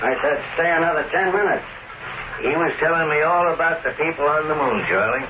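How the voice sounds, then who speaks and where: A man's voice: fairly high pitch, a little fast, natural voice. An actor playing a wary man who slyly humors a dangerous drunk, probing with feigned casualness, in an old radio drama. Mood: coaxing. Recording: noisy muffled old radio broadcast recording.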